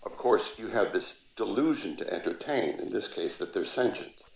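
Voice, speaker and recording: An actor playing a reflective, coolly philosophical man in an audio drama, speaking in a measured, musing way. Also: on the low side, expressive, creaky. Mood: musing, detached. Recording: echoey room.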